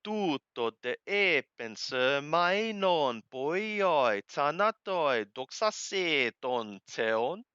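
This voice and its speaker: A man reading scripture aloud phrase by phrase and translating literally, with deliberate, halting, emphatic phrasing. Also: a little high, sharp tone, clear, resonant tone, dry recording, highs cut off. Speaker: Bible reader and translator.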